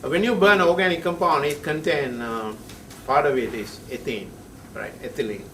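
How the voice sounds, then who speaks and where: A male chemistry professor, lecturing a class in a halting way, with many pauses and frequent checks of "right?". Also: a little high, a bit bright, far from the mic, a little hiss or hum. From Sri Lanka. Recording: echoey classroom heard from afar.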